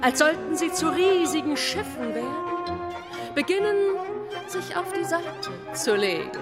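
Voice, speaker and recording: A woman narrating a radio play in a solemn, poetic, dreamlike way, measured and incantatory. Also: very high voice, animated, loud music behind the voice.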